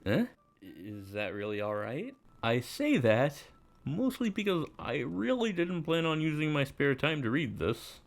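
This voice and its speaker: A man's voice: on the high side, sing-song, measured pace, vocal fry, soft background music, full character voice. A man playing an easygoing, self-deprecating teenage schoolboy, casual and reflective, in first-person visual novel narration and dialogue. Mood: awkward, friendly.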